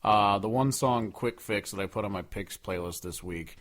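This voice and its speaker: A man's voice: on the low side, normal speed, a bit bright. Informal man chatting as podcast co-host. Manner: informal, sweary, conversational. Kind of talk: casual country music review chat.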